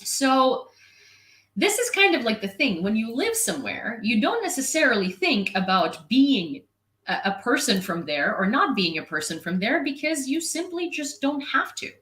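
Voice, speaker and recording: A woman's voice: a little high, very animated, normal speed, fairly bright tone, echoey room. A young woman from Ukraine who speaks Ukrainian natively, frankly and sarcastically answering a viewer comment in casual vlog commentary. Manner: frank and sarcastic, with filler words and occasional swearing. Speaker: independent reporter and vlogger.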